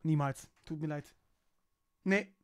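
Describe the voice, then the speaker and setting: A young man streaming from a small room at home, talking close into a boom mic as he reacts to a video. He talks casually, repeats himself and laughs quickly. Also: over loud music. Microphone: boom mic close in front.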